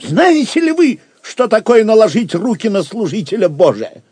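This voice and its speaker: Very high-pitched pompous prior. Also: male voice, sing-song, moderate pace, darkish tone, slightly rough, no room sound, full character voice. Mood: indignant. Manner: pompous, indignant. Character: vain, pompous, dandified prior taken captive. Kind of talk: audio drama acting.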